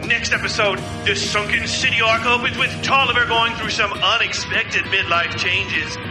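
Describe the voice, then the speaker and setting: A woman's voice: on the high side, fairly even intonation, normal speed. A woman announcing in a scripted, dramatic style, as if previewing the next episode of a fiction podcast. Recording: echoey, music behind voice.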